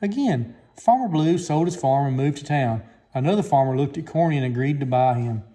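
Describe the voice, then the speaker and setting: A man's voice: medium pitch, expressive, fairly quick, dark tone. A man reading a children's story aloud as a scripted narration. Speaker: storybook reader.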